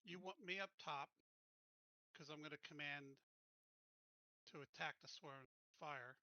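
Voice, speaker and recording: Very bright, higher-voiced man gaming. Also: unhurried, no room sound. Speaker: tabletop game player. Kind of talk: casual game table chat.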